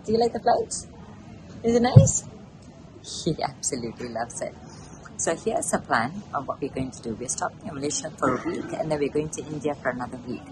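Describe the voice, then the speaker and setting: Low-voiced woman vlogging over travel noise. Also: big pitch swings, brisk. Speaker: vlogger, mother of a toddler. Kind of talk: casual travel vlog talk to camera. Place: busy airport terminal. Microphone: camera held at arm's length. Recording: clear ambient travel noise behind.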